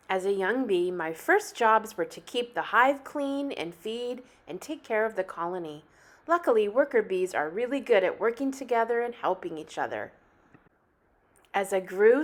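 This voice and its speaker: A woman reading a picture book aloud like a teacher, with animated, deliberate phrasing meant for young children. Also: mid-range voice. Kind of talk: expressive children's story read-aloud.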